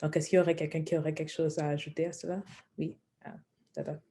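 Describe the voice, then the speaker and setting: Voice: medium pitch, flat delivery, fast, dark tone. A moderator of a radio forum discussion, inviting the panel to share their views.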